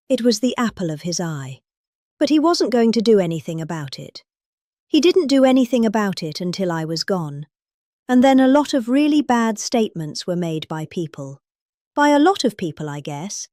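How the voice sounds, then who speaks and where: British woman, middle-aged, for theatrical speech reading; animated pitch, heavy emphasis, dry studio-style sound. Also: dry recording. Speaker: reader of a speech. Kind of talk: dramatic reading of a political speech.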